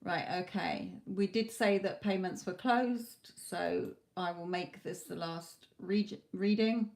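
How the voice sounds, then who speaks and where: A woman giving live tarot readings to viewers, talking reassuringly, her voice going a little hoarse from hours of talking. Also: lowish voice, slow pace, a little mellow. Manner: reassuring, calls viewers "my lovely". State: losing her voice, a bit hoarse. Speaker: tarot reader.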